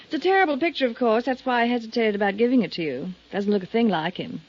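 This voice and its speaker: An actress playing a guarded, evasive widow with measured politeness in a 1950s radio drama. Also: female voice, on the high side, lively intonation, natural voice. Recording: dull, hissy 1950s radio recording.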